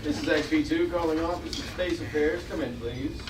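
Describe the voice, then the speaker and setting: A man's voice: a little high, fairly quick, lightly acted. A man playing a firm, brave spaceship pilot in an amateur script table read. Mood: businesslike. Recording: roomy, far from mic, noisy room.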